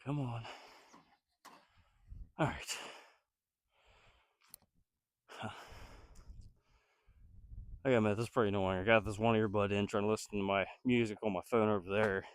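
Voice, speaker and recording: A man with a slightly low, nasal voice from a cold, thinking aloud as he builds something outdoors. He rambles and hesitates and stops to find his words while chatting to his viewers. The sound is dry, with no room echo. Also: fairly even intonation, moderate pace, long pauses, clear, resonant tone. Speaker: DIY builder vlogger. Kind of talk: casual self-talk while building.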